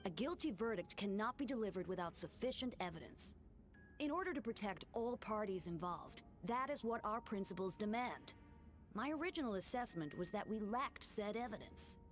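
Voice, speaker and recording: A woman playing a composed, principled judge in a video game, speaking formally and with measured authority. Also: medium pitch, expressive, faint music behind, natural voice. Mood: solemn, resolute.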